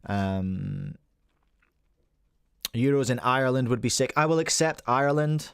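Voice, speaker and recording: A Scottish man in his forties hosts a casual live podcast, his voice sitting at an ordinary middle pitch. He rambles along in a loose, chatty way and greets people in the chat as he goes. The sound is dry and close, with no room around it. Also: expressive, a little slow, fairly bright tone, clean tone, no room sound. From Scotland. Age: 40s. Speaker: podcast host.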